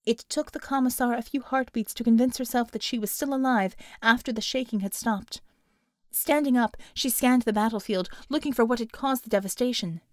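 Female audiobook narrator, fairly high voice, dramatic scripted storytelling, very dry studio-like sound. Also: fairly quick, darkish tone, dry recording.

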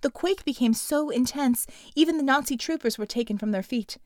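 A woman's voice: high-pitched, brisk, a little mellow, dry recording, clean studio sound. A woman narrating a scripted dramatic action story as an audiobook reader.

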